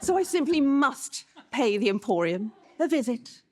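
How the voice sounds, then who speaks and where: Woman acting genteel lady novelist, high voice, prim mannered phrasing, comic radio sketch. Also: big pitch swings, moderate pace. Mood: primly pleased. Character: Jane Austen, genteel Regency English lady novelist.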